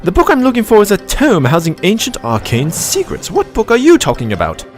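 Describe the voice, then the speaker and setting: A man's voice: high voice, wildly swooping pitch, a little fast. A man voicing a clever, smug scholar with a pedantic, drily sarcastic manner, in a comedic fan dub. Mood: exasperated. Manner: sardonic, pedantic, articulate. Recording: music behind the voice.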